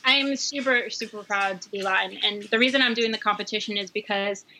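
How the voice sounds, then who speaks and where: A woman guest chatting casually and warmly, with lots of fillers and easy laughter. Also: mid-range voice, a little fast, slight background noise, low-quality recording. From New York. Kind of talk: casual conversation.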